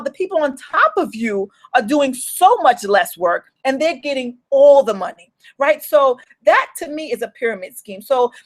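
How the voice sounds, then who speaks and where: A young woman with a New York accent giving an earnest motivational talk as a business coach, often repeating and restarting her phrases. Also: high-pitched.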